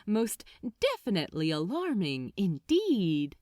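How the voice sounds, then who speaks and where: A woman plays a fussy gentleman angel in a fantasy audiobook. He is prim, anxious and terribly polite, with swooping, animated intonation. The recording is dry and intimate. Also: medium pitch, a little slow, no echo. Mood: puzzled. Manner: flustered, prim, old-fashioned politeness.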